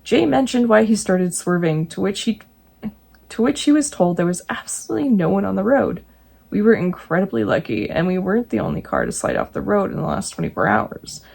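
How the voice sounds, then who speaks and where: A woman reading true ghost stories aloud, breaking in now and then with jokey, animated asides. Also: mid-range voice, brisk, gravelly, slightly rough. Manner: animated, jokey asides between read passages.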